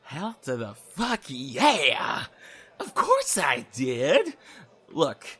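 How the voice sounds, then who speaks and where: A man's voice: on the high side, wildly swooping pitch, extremely slow, pushed and bright, husky, faint music behind, heavily acted. A man playing a cocky, crude young prisoner in an audio drama sketch, with a brash, theatrical, sarcastic manner. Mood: boastful, excited.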